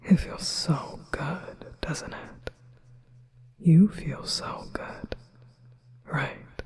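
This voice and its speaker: A man voicing a possessive, manipulative male demon in a whispered ASMR hypnosis roleplay, speaking in a slow, soothing whisper. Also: on the high side, a little mellow, husky, exaggerated voice. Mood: hypnotic.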